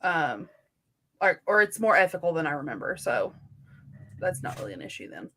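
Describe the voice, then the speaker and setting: A woman in a casual book discussion as a co-host, talking informally with slangy phrasing and softened opinions. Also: lowish voice, big pitch swings, normal speed.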